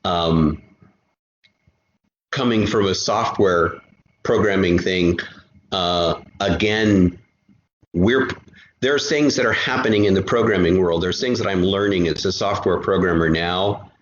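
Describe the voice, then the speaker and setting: Middle-aged male guest, lowish voice, rambles and repeats words in casual chat; muffled, echoey room sound. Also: slight background noise. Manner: halting, repeats words as he thinks aloud. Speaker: guest, writer and software programmer. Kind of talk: casual chat, discursive storytelling.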